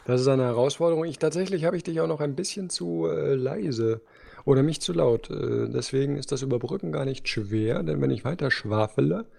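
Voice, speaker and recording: A man co-hosting a podcast, chatting casually and teasing his partner with quick jokes. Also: medium pitch, gravelly, darkish tone, husky. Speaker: podcast co-host. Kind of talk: casual chat.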